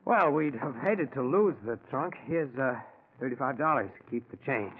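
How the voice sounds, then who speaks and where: A nervous husband in a 1940s radio mystery, played by a male actor, smoothly placating yet prone to stammer, with guilt under the concern. The recording is tinny and narrow, with clear background noise. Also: mid-range voice, lively intonation, normal speed, natural voice. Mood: flustered. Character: nervous, scheming husband hiding guilt behind soothing concern. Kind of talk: 1940s radio drama acting.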